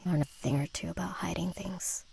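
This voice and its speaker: A woman's voice: lowish voice, steady tone, a little fast, creaky, mellow, a little hiss or hum. A woman voicing a rebellious, sardonic teenage schoolgirl in a visual novel, with a dry, taunting, laid-back manner. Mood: sly, teasing.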